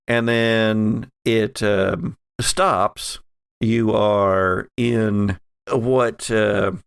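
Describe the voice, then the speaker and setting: A man narrating a horror tabletop game as game master, halting and pausing mid-phrase as he improvises the scene. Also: mid-range voice, vocal fry, no echo, clean studio sound.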